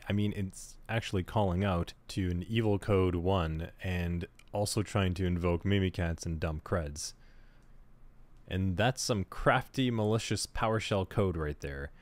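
A man teaching a cybersecurity lesson over a screen recording, talking through each step in a relaxed, conversational way and thinking aloud as he goes. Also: sits low. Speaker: cybersecurity instructor. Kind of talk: screen-recorded tutorial walkthrough.

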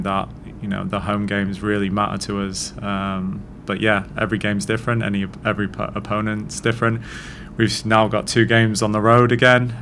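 Man, head coach, low steady voice, measured answers, desk mic, faint rumble. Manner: measured, thoughtful, frequent restarts. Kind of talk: post-match press conference answers. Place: press conference room. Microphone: desk mic on stand.

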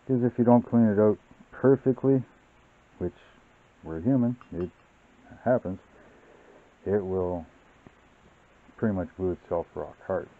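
A man hosting a model-building channel, explaining his workbench steps in a relaxed, rambling way with many fillers. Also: lowish voice, measured pace, long pauses. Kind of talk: casual hobby tutorial narration. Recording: tinny, phone-like sound.

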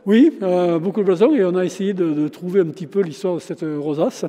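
A man's voice: sits high, fairly quick, dark tone. A man in his sixties, a shop owner and local historian, talking through a face mask in a casual interview, with many fillers and restarts. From France. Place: large former chapel, indoors. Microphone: handheld interviewer mic, face mask on. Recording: long reverb of a stone chapel.

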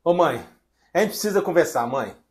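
Casting: actor as earnest Brazilian husband, hesitant, repetitive, highly animated intonation, domestic drama. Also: male voice, moderate pace, breathy edge. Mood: firm, earnest. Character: hesitant adult son and husband, torn, earnest.

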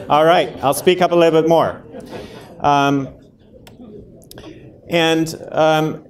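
A German-accented man in his thirties gives a friendly talk on heart rhythm to a community audience. He explains things plainly, often pausing with ums and restarting sentences. He speaks into a microphone in a lecture room. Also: medium pitch, a little mellow. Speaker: cardiologist giving a public health talk. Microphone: handheld and podium microphone.

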